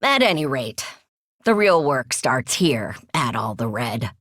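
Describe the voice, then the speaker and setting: An actress playing a sardonic, commanding old granny in a video game, with a dry, skeptical manner. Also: female voice, extremely low pitch, big pitch swings, unhurried, a bit bright, dry recording, full character voice. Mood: dry, teasing. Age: older. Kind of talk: scripted video game acting.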